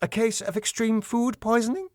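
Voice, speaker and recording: A man's voice: very high-pitched, normal speed, no echo, clean studio sound, voice pushed up. A man voicing a stern female council inspector in a sitcom, formal, clipped and pompous. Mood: disgusted. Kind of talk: sitcom script read aloud.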